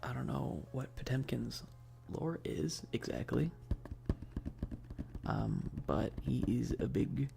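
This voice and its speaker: A man chatting casually on an ASMR game stream in a soft voice that often slips toward a whisper, laid-back and sweary with slangy, hesitant enthusiasm. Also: medium pitch, big pitch swings, takes it slowly, gravelly, long pauses. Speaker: streamer hosting a live stream. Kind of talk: casual rambling ASMR stream chat about games.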